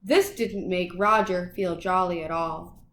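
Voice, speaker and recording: A woman reading a children's picture book aloud in an expressive, dramatic way for young listeners. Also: on the low side, unhurried, roomy sound, a little hiss or hum. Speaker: children's storybook reader.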